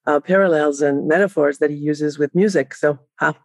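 A middle-aged woman with a French accent, chatting lively as a podcast guest and laughing often. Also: sits low, normal speed, no room sound, studio quality. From France, raised in Spain. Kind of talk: casual interview chat.

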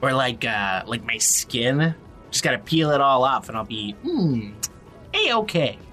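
A man acting in a naturalistic audio drama as a tired, sardonic young fugitive who talks casually and haltingly. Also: mid-range voice, big pitch swings, sharp tone, soft background music.

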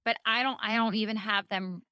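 A woman co-hosting a podcast in casual chat, thoughtful and measured, with plenty of "like" fillers. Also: mid-range voice, steady tone, bright, forceful tone, clean tone, dry recording, studio quality. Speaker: podcast co-host. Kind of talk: casual chat about a TV episode.

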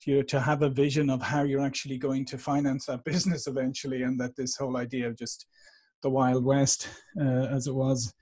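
A man in his forties with a Dublin Irish accent, chatting as a podcast guest over a remote call, animated and often restarting his sentences. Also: mid-range voice, fairly quick. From Ireland. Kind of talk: conversational interview.

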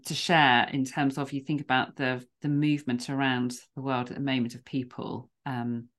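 A woman's voice: a bass voice, flat delivery, normal speed, fairly bright tone. A woman hosting a podcast, chatting with a guest in an effusive, encouraging way. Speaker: podcast host. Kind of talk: conversational interview.

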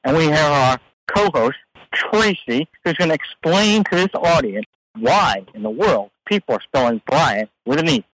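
A man's voice: fairly high pitch, animated, moderate pace, a bit bright, rough recording. A middle-aged man hosting a business radio show, joking and teasing his co-host in playful banter. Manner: teasing, self-mocking.

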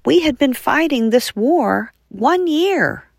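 A woman's voice: high voice, animated, slow, creaky, no echo, clean studio sound. A woman speaking as a guest author, telling history with enthusiasm.

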